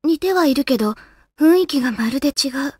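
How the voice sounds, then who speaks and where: A solemn, gentle guardian spirit of the land in a video game. Her voice sits high and she speaks with formal, composed courtesy. The recording is close and dry, with no room around it. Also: lively intonation, a little fast, dry recording. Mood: calm, solemn. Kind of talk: Japanese video game voice acting.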